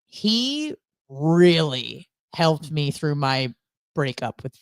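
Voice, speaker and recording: Female radio co-host, lower-leaning pitch, dry studio sound, candid and chatty gossip with rambling storytelling. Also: sing-song, drawn-out words, smooth, no room sound. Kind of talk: casual gossipy chat.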